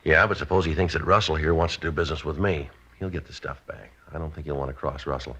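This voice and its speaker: A deadpan 50s radio cop, super low voice, talks fast and clipped, old dull recording. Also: male voice, breathy edge, heavily acted. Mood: businesslike. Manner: clipped, deadpan, matter-of-fact. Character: stoic police sergeant narrating his case, calm and businesslike. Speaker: police detective and narrator. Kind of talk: 1950s radio police drama acting. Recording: dull vintage radio recording, faint music.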